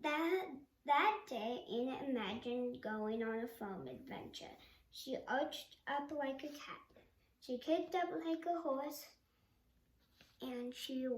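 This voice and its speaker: A female reader reading a storybook aloud, haltingly and sounding out some words. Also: sits high, recorded from a distance. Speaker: read-along reader. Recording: roomy sound with faint background noise.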